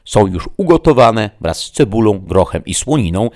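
A man's voice: fairly low pitch, sing-song, moderate pace, a little mellow, dry recording, studio quality, voice pushed up. A man voicing a wily, boastful innkeeper in a storybook, theatrical, exclaiming and wheedling.

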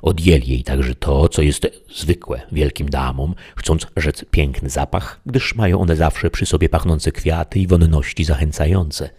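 Man playing a mournful, grandiloquent knight, very deep, husky, storybook. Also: steady tone, unhurried, few pauses, a little mellow, heavily acted. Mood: lamenting. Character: gloomy, lofty male knight, solemn and lamenting.

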